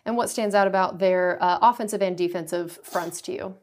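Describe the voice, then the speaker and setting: A woman's voice: on the low side, fairly quick. A woman who is a team reporter hosting a radio sports show, asking poised, upbeat interview questions.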